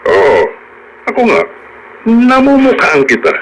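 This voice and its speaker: Brief: probing Filipino lawyer, radio drama, measured phrasing, varied intonation, slight noise. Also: male voice, sits high, wildly swooping pitch, a bit bright, full character voice. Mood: serious, probing. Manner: deliberate, questioning. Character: measured, businesslike male attorney. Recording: noisy radio drama broadcast.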